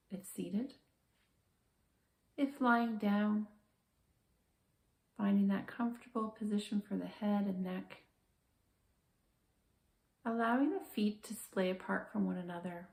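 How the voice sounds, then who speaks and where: A woman guiding a body scan meditation in a calm, gentle, measured manner with slow, soothing pauses. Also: mid-range voice, vocal fry, reverberant. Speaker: meditation guide, therapist.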